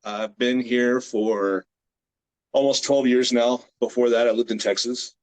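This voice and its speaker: A man in his late thirties, a grip strength athlete from the United States, chatting as a guest on a video call in a relaxed, rambling way with many fillers. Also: medium pitch, a little slow, poor audio. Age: 30s. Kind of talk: casual interview chat.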